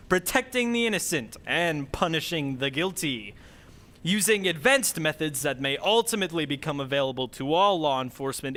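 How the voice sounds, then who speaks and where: A man's voice: fairly high pitch, pushed and bright. A man narrating a scripted show as an old-time radio announcer, with dramatic, theatrical delivery.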